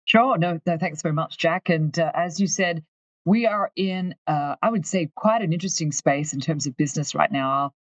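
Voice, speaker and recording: An Australian woman from far north Queensland, a finance executive telling her story in a candid, lively interview. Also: sits low, normal speed, no room sound, highs cut off. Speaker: guest, company finance chief. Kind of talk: conversational interview.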